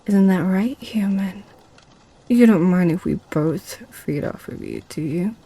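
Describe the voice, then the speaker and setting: A woman's voice: mid-range voice, measured pace, dark tone, slightly rough. A woman playing a seductive, possessive succubus in an ASMR roleplay, with a teasing, sly way of talking. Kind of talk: ASMR roleplay audio drama.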